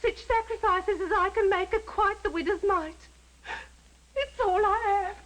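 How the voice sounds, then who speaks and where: An actress playing a whining, servile maidservant who makes a martyr of herself, in theatrical period radio drama style. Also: female voice, very high voice, moderate pace, fairly bright tone, poor audio. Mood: tearful martyrdom. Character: shrill, martyred, fanatical maidservant fawning on her mistress.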